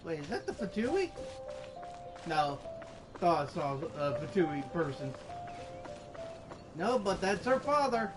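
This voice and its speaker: High-voiced, slow-paced man doing gameplay commentary. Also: sing-song, low-quality recording. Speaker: gameplay streamer. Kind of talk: casual gameplay commentary, reading dialogue choices aloud. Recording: game music behind the voice.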